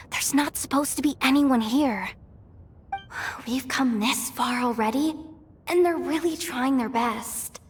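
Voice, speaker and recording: A high-voiced young woman sneaks through a video game story, thinking aloud. She is anxious and hesitant, speaking slowly in hushed self-talk as she tries to steady herself. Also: husky, full character voice. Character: anxious young female infiltrator, muttering strategist.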